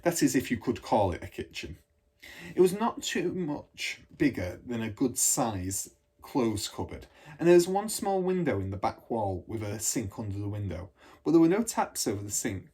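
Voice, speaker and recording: A man reading a children's storybook aloud like a teacher, in an expressive, measured storytelling manner. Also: mid-range voice, slightly rough. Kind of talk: storybook narration.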